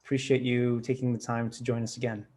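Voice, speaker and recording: Male host of an online investor panel; mid-range voice; upbeat, brisk. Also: mid-range voice, flat delivery, darkish tone.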